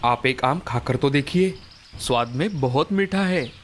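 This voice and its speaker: A man voicing a humble young fruit seller in an animated moral story, polite and pleading. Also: medium pitch, measured pace, soft background music, natural voice. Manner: polite, entreating.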